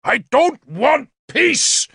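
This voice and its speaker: A male narrator acts out a haughty warlord king from a historical storybook. He declaims with menace and contempt, his voice pushed high and sharp, with some gravel in it, very close and dry. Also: expressive, moderate pace, no room sound, heavily acted. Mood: furious.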